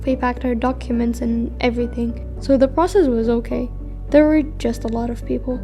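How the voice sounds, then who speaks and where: A woman's voice: on the high side, steady tone, moderate pace, warm tone, faint music behind, lightly acted. A woman playing a guilt-ridden but resolute teenage war refugee in an amateur radio drama. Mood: calm, recounting.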